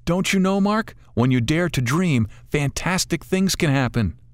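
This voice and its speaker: A man voicing a wise old female talking bird who counsels gently and calmly. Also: on the high side, measured pace, no room sound, clean studio sound, voice pushed up. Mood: gentle.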